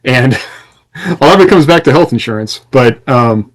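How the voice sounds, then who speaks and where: A man's voice: mid-range voice, expressive, normal speed. A man talking casually as a podcast co-host, explaining things and reflecting through personal anecdotes.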